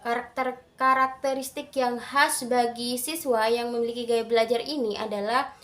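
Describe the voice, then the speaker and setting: A young adult woman giving a prepared student presentation in careful, halting phrases. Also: a little high, fairly even intonation, a bit bright.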